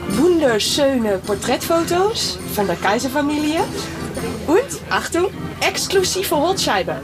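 Überschwängliche, helle Gästeführerin. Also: female voice, high voice, sing-song, measured pace, music in the background. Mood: eager selling. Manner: effusive sales patter. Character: gushing, eager tour hostess at imperial residence. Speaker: tour hostess. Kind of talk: satirical German radio play.

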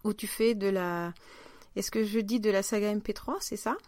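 A woman's voice: mid-range voice, fairly even intonation, normal speed, a little mellow, dry recording. A woman hosting a podcast, chatting informally as she interviews her guest. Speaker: podcast host. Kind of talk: casual conversational interview.

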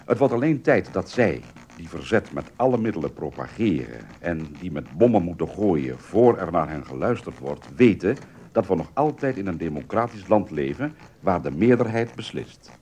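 A man's voice: deep voice, very animated, normal speed, dark tone, slight background noise. An actor playing a stern, authoritarian male government minister making an oratorical statement in a Dutch radio play.

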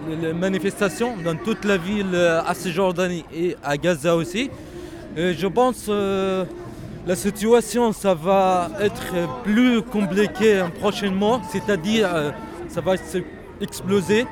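A man from Palestine, speaking French haltingly and passionately in a street interview, often raising his voice. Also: high voice. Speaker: protester interviewed at a rally. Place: outdoor street demonstration. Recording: crowd noise at a street protest. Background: crowd of protesters.

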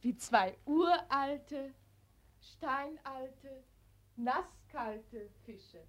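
A young woman with a high voice, caring and earnest. She speaks with tender, teasing playfulness in the manner of old radio drama. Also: extremely slow. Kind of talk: 1940s German radio acting. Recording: 1940s radio studio recording.

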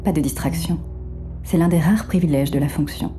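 Actress for female third-person narrator, noir thriller audio drama; deep for a woman, very soft-edged dark timbre, wry and measured. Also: faint music behind, natural voice. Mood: detached, wry.

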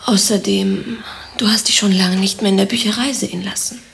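A woman's voice: mid-range voice, steady tone, fairly quick, gravelly, slight background noise, close to an everyday voice. A woman playing a cool, teasing librarian in a radio play, with a dry, matter-of-fact manner. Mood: dry reproach. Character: cool, teasing female librarian, former sweetheart. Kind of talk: German radio play acting.

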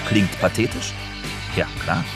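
Man, lowish voice, radio feature narrator, scripted delivery, music behind. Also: lively intonation, unhurried. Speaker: documentary narrator. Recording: music under the voice.

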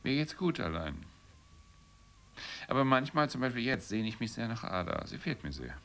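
An actor in a German radio play voicing a lonely, bitter, brooding man, gravelly and weary, answering in a terse, grudging way. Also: medium pitch, sing-song, moderate pace, fairly bright tone. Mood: regretful, longing. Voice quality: gravelly, creaky.

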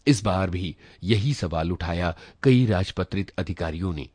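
A man narrating a literary short story in an expressive reading style. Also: deep voice, a little slow, breathy edge, no echo. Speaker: story reader.

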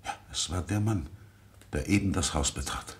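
A man playing an agitated, haunted secretary in an old German radio crime play, speaking in a halting, evasive way. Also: sits low, close to an everyday voice. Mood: uneasy, confessing. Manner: halting, evasive, breaking off and repeating himself. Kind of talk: German radio crime play acting from the mid-20th century.

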